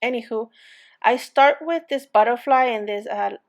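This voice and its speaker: A woman's voice: a little high, moderate pace. A woman with an accent hosting a casual crafting tutorial, chatty and rambling with many fillers and restarts. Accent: non-native English accent. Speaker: crafting channel host.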